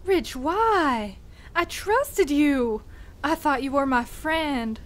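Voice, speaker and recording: A woman's voice: sits high, expressive, very slow, full character voice. A woman playing an earnest, trusting Wild West posse leader in a theatrical audio drama. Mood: hurt. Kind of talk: western audio drama acting. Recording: music playing behind the voice.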